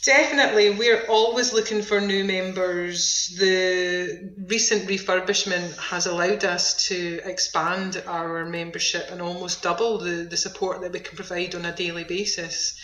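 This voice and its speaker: A woman's voice: lowish voice, little pitch movement, measured pace, hardly any pauses, a bit bright, roomy sound, low-quality recording. A woman with a Scottish accent speaking informally and upbeat as a centre manager being interviewed on the radio.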